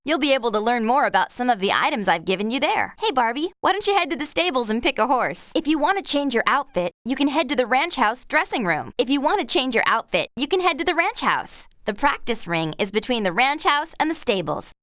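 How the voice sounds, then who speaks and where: Upbeat young ranch gal giving game tips, friendly, high voice, very dry recording. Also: female voice, sing-song, brisk, hardly any pauses, a bit bright, dry recording. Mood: helpful, upbeat. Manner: clear, instructive, friendly. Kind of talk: video game tutorial acting.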